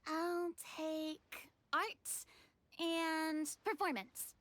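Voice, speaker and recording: A woman's voice: very high voice, steady tone, very slow, fairly bright tone, no room sound, exaggerated voice. A woman voicing an earnest, modest young woman in a video game, musing and hesitant. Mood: thoughtful, hesitant. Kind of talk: video game character acting.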